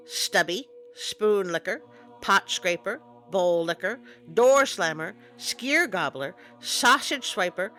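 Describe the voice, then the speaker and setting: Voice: mid-range voice, bright, forceful tone. A storytelling host reading scripted folklore narration in a theatrical, playfully ominous manner. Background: music.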